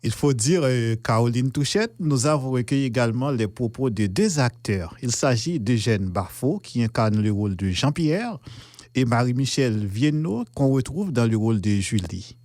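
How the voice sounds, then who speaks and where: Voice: mid-range voice, lively intonation, moderate pace, a little mellow, no room sound. Radio presenter, dry close sound, scripted link narration. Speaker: radio reporter presenting the segment. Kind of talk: scripted narration linking interviews.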